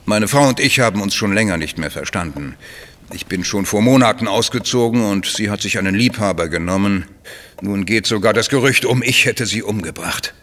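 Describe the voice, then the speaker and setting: A man's voice: on the low side, fairly quick, a bit bright, close to an everyday voice. A man playing a suave, self-important crime writer in a German radio drama, eloquent and effusively polite. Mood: subdued. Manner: pompous, florid, eloquent.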